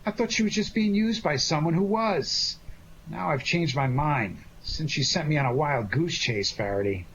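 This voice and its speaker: A man's voice: a little high, lively intonation, moderate pace, a bit bright, highs cut off, slight background noise. A man playing a glib, wisecracking amateur sleuth in a 1940s-style radio detective drama, placating the others. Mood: rueful, defensive. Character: wisecracking, fast-thinking male amateur sleuth.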